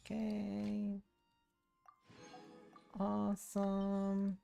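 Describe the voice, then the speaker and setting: Creaky, unhurried woman streaming a game. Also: medium pitch, monotone, warm tone. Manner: talks to herself while playing, short offhand remarks. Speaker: streamer, VTuber. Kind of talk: casual gameplay livestream chat. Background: video game music and sounds.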